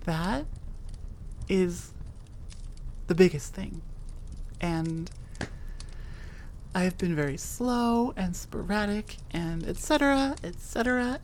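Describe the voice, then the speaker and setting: A woman's voice: mid-range voice, darkish tone, slight background noise. A woman hosting her own audio channel, chatting casually in an outro and often correcting herself. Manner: rambling, self-correcting, with frequent fillers. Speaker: audio creator and host.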